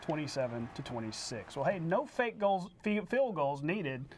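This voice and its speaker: An American man, a sports anchor, delivering scripted highlights in a punchy way. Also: lively intonation, normal speed, a little hiss or hum. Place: TV studio.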